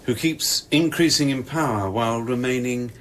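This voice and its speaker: A man's voice: mid-range voice, moderate pace, fairly bright tone, slight background noise, natural voice. A man acting in a radio play as a wry, concerned scientist on a space station, talking in a musing, probing way. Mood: musing, amused.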